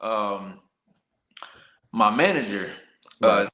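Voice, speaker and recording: A man's voice: lowish voice, animated, very slow, sharp tone. An American man, a boxer being interviewed, telling his own story candidly in colloquial, casual speech with frequent 'you know' tags. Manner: candid, colloquial, peppered with 'you know what I'm saying'. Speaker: professional boxer, podcast guest. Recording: roomy, echoey sound.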